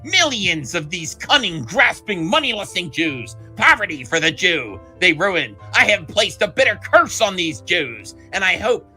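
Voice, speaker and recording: A man's voice: high voice, sing-song, measured pace, few pauses, pushed and bright, soft background music. A man hosting a comics talk livestream, reading aloud dramatically and chatting in a theatrical, mocking way. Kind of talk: dramatic letter reading and casual group chat. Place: online group call.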